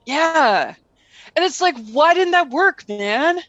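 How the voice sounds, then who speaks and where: A woman's voice: high-pitched, lively intonation, slow pace, a bit bright, dry recording, dull audio, exaggerated voice. A woman playing an envious, disgruntled student who craves heroism, dry and exasperated in an improvised comedy sketch.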